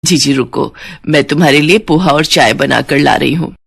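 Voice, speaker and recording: Lady doing a kind older mom-in-law for a storybook, lower voice, soothing, softly scolding. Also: moderate pace, gravelly, slightly rough, exaggerated voice. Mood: calm, reassuring. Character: gentle older mother-in-law, loving peacemaker.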